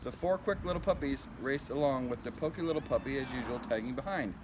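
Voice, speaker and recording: Male storybook reader, medium pitch, child-directed narration, clearly noisy recording. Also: fast talker. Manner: simple, child-directed narration with asides about the pictures. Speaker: school principal reading to children. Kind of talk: picture book read-aloud.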